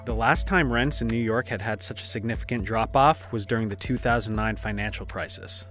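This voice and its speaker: A man reading scripted news narration in a measured, even way. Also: fairly low pitch. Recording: clip with music underneath. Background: soft music.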